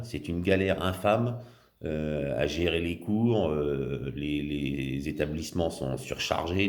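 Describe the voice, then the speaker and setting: A man giving casual spoken commentary in a vlog, halting and blunt, with many fillers and restarts. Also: low-pitched, darkish tone. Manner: indignant, blunt. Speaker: vlogger.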